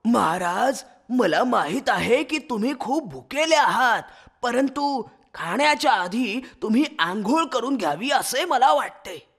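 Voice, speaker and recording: A man voicing a cunning fox minister in a children's animal story, raspy and creaky, with a wheedling, flattering manner. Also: very high-pitched, unhurried, heavily acted. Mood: sly, servile. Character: cunning sweet-talking fox, minister to a lion.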